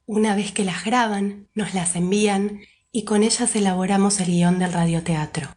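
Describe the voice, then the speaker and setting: A woman with a medium-pitched voice reads out a prepared presentation as a member of an association. The delivery is formal and explanatory, in complete written sentences. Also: steady tone, moderate pace, darkish tone. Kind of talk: scripted presentation.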